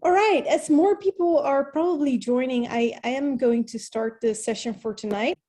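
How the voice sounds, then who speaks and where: A woman welcomes people to an online session over a video call. Her voice sits a little high but sounds mellow and soft-edged. She speaks in a friendly, unhurried way. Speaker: host. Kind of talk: online meeting hosting.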